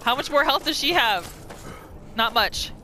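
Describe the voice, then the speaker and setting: A woman gaming host narrating a roleplay playthrough in a casual, expressive, playful storytelling style. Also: sits high, unhurried, heavy background noise.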